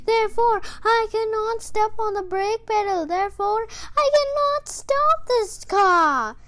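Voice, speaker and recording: A woman voicing a mild, helpless man driver who speaks in precise, formal, deliberate phrases. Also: extremely high pitch, fairly bright tone, voice pushed up, exaggerated voice.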